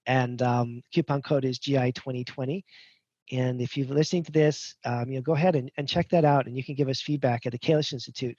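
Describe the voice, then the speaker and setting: A man's voice: medium pitch, fairly even intonation, a little fast, darkish tone, no echo, dull audio. A man in his fifties giving a casual, rambling webinar talk as a functional medicine instructor, a little self-deprecating, over a video call. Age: 50s.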